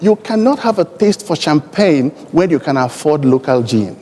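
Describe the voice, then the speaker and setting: A man with a Nigerian accent and Igbo as his native language, speaking deliberately and emphatically in elaborate formal phrases on a conference panel. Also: a little high, animated, a little mellow, slight background noise. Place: large conference hall. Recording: long hall reverb from conference PA.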